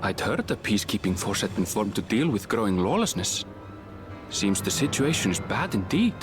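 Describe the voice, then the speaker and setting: An actor playing a composed, observant and courteous traveller in a video game. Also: male voice, on the low side, very animated, moderate pace, over music. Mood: dry, observant.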